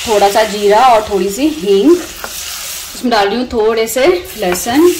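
A woman's voice: medium pitch, unhurried. A woman in her thirties with an Indian accent, giving a casual, conversational cooking voice-over with English words mixed in. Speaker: home cooking vlogger and host. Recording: voice-over with clear sizzling kitchen noise.

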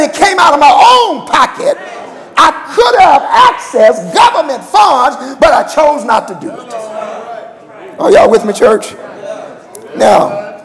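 Older male preacher. Very high, gravelly delivery, impassioned and emphatic, in a reverberant church hall. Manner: impassioned, emphatic, with repeated calls to the congregation. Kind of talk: sermon. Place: large church sanctuary, at a pulpit.

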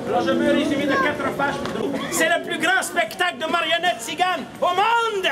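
Man, Québécois accent, very high-pitched voice, theatrical street-show comedian, playful teasing, outdoors, loud crowd. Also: moderate pace, heavy background noise. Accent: Québécois French. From Quebec, Canada. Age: middle-aged. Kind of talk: comic street show patter to an audience. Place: outdoor cobbled street among an audience. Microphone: distant camera in the crowd.

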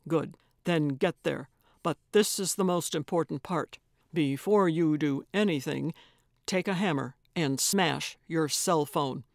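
A woman's voice: low-pitched, unhurried, darkish tone, no echo, studio quality. A woman voicing a commanding, secretive man in an audiobook, terse and tightly controlled as the figure gives urgent orders. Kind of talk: audiobook character voice.

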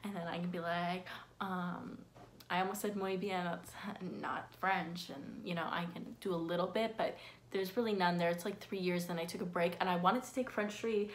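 A woman's voice: lowish voice, fairly even intonation, normal speed, slight background noise. A sixteen-year-old girl chatting casually to her phone camera in a bedroom, rambling through facts about herself with lots of fillers and self-deprecating asides. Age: teens. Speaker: vlogger. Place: bedroom with window blinds, indoors. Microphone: phone camera at close range.

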